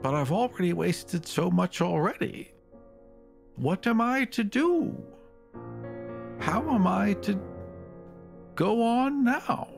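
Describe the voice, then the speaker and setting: A man voicing a weary little owl wizard in a game, soft and plaintive, asking questions in despair. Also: high voice, expressive, slow pace, creaky, long pauses, soft background music, voice pushed up, full character voice.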